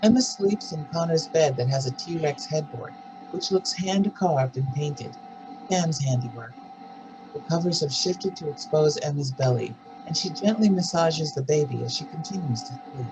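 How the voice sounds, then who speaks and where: A narrator reading screenplay stage directions aloud in a measured, scripted table-read style. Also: mid-range voice, animated, over music, low-quality recording.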